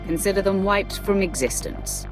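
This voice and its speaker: An actress playing a poised, deadly Sith apprentice in a sci-fi game, speaking in a measured, formal, confident manner. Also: female voice, mid-range voice, lively intonation, over music, lightly acted, low-quality recording. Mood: coldly confident. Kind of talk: dramatic sci-fi video game acting.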